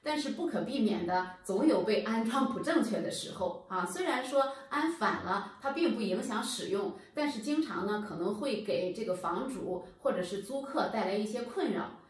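Woman in her 30s, patient how-to lesson, bouncy pitch, super echoey room, mic kinda far away. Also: animated, normal speed, few pauses. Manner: patient, explanatory, addresses viewers directly. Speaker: HVAC channel presenter. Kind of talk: instructional explainer. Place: plain indoor room against a bare wall.

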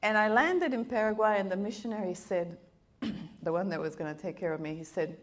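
A middle-aged woman missionary who grew up in Bolivia, preaching in a church and telling personal stories in an animated way, with a slight accent. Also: mid-range voice, fairly quick. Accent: foreign accent of Italian and Latin American upbringing. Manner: animated, laughing, with playful quoted voices. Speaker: guest missionary speaker. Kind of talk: sermon with personal storytelling. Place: church auditorium with a stage. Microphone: handheld microphone at a pulpit, wide camera.

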